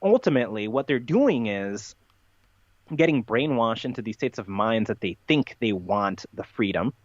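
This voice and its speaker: A man's voice: lowish voice, animated, normal speed, no echo, highs cut off. A man giving unscripted interview answers as a podcast guest, explaining at length in an emphatic, rambling way with repeated phrases.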